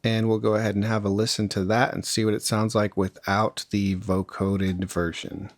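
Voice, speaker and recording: Low-voiced man giving a casual software tutorial. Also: flat delivery, normal speed, no echo. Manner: informal, conversational instruction. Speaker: music production tutorial presenter.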